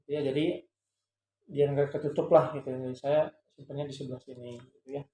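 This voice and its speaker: A man with a soft, mellow tone explains things casually, vlog style. He feels his way through points and often repeats himself. The room rings with echo, and faint noise sits behind him. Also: medium pitch, fairly even intonation, brisk. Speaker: vlogger and parent showing a product.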